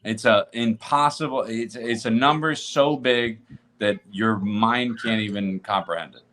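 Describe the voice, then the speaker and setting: A man's voice: fairly low pitch, steady tone, a little slow, fairly bright tone, a little hiss or hum. An American man from Tennessee co-hosting a podcast, chatting casually and telling stories in a conversational way. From Tennessee, United States. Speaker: podcast co-host. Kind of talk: casual conversational storytelling and discussion.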